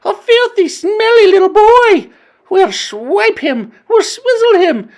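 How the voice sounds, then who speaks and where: A woman voicing a frenzied crowd of female witches, screaming in high, shrill voices. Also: animated, slow pace, a little mellow, exaggerated voice.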